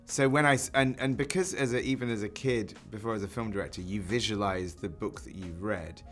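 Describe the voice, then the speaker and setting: Deep-voiced film director, thoughtful. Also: male voice, fairly even intonation, a little fast, a bit bright, faint music behind. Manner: thoughtful, restarts and reframes mid-sentence. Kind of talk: casual interview answers.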